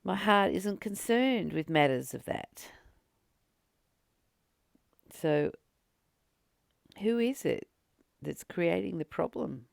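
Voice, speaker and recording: A woman leading a spiritual book study, reading aloud and reflecting in a deliberate, emphatic manner with pauses and repeated phrases. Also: on the low side, animated, vocal fry, no echo, clean studio sound. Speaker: spiritual teacher and channel host.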